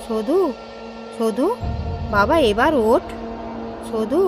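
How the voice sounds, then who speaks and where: A woman voicing a loving, fussy older aunt in an animated horror story. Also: a little high, very slow, over music. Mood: coaxing. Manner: scolding, doting, motherly. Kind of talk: cartoon voice acting.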